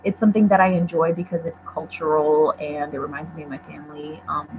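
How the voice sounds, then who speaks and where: A woman's voice: low voice, level tone, a little fast. Young woman vlogger born in Poland, rambling and self-deprecating, heard through tinny phone-like audio. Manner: chatty and rambling, many "um" and "like", self-deprecating asides. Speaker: book vlogger. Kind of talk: casual livestream chat, answering viewer questions. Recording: tinny phone-like livestream audio, faint noise.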